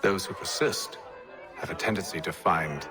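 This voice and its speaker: An actor playing a dignified, reflective gentleman leader in a video game, speaking formally in a measured, articulate way. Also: deep voice, a crowd in the background, lightly acted. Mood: somber. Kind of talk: dramatic video game acting.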